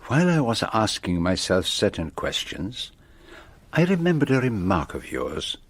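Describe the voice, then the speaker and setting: An actor playing a proud, shrewd detective with a Belgian French accent in a radio play, speaking formally and precisely. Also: male voice, fairly low pitch, huge pitch swings, normal speed, breathy edge, full character voice. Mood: musing, self-satisfied.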